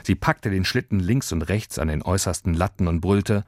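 A man's voice: low-pitched, moderate pace, dry recording, clean studio sound. A man reading scripted narration for a children's story. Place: dry studio.

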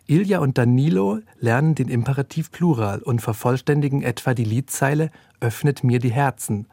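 A man delivers scripted feature narration in the role of a reporter. His voice sits at a medium pitch and has a husky grain to it. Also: normal speed, dark tone, no room sound, clean studio sound. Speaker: radio reporter.

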